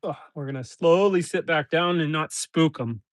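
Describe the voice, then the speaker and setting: A man's voice: fairly high pitch, moderate pace, no room sound, studio quality. A man from northern Canada, stuffy-nosed, chatting casually on a live stream from his homestead, talking to viewers and his animals. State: stuffy nose. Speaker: homesteader livestreaming. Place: outdoors in a forest pen.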